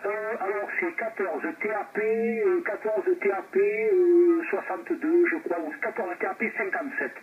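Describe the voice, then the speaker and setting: A man's voice: high voice, huge pitch swings, normal speed, hardly any pauses. A man from France chatting over two-way radio in a hesitant, rambling way, repeating words as an operator. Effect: two-way radio transmission. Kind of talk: casual radio contact chat. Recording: narrow, noisy two-way radio reception.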